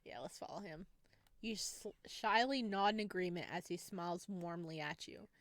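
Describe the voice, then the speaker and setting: A woman's voice: on the low side, moderate pace, no echo. Woman reading a horror game aloud. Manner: second-person narration with asides to viewers. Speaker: gamer reading a visual novel.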